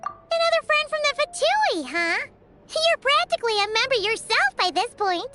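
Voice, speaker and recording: A woman voicing a small, excitable, curious childlike fairy companion in a video game, with a squeaky voice. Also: normal speed, over loud music. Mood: chatty. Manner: refers to self in third person, exclaims eagerly.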